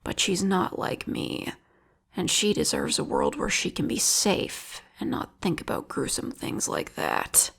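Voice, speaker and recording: A woman playing an obsessive, lovesick female vigilante killer in an intimate audio roleplay, with a whispery, gravelly voice and a slow, taunting manner. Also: on the low side, huge pitch swings, fairly bright tone, exaggerated voice. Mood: bitter, vengeful.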